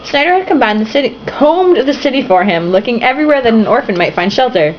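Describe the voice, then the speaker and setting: A woman's voice: fairly high pitch, expressive, fast talker, a little hiss or hum. A tipsy young woman reading a novel aloud, breaking off with swearing, giggling asides, on a slightly muffled home recording. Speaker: reader.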